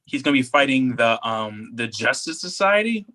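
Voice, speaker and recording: A man's voice: medium pitch, sing-song, normal speed, bright, forceful tone. A man co-hosting a podcast in a casual, conversational chat style. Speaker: podcast co-host.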